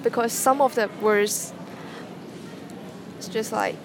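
A young woman with a Hong Kong accent speaks English as a second language, her first tongue Cantonese. She answers slowly and tentatively, humming and restarting often. Clear noise hums behind her voice. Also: on the high side, fairly even intonation. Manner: hesitant, many restarts and hums. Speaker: interviewee, student. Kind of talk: casual interview answers. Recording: noticeable background noise.

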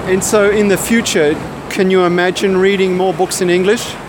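An interviewer asking calm, plain follow-up questions in a street interview. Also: medium pitch, moderate pace. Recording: traffic noise outdoors.

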